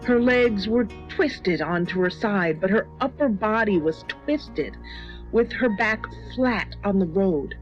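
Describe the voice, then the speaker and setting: Female horror narrator, slow, measured, suspenseful, muffled lo-fi sound, music behind. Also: mid-range voice, expressive. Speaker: story reader. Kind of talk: scripted first-person horror narration. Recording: dull lo-fi audio with music.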